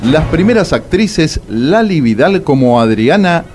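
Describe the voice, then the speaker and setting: A man with a Rioplatense Argentine accent narrating a radio drama in a wry, theatrical way, with dramatic pauses. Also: a little high, very animated, darkish tone, soft background music.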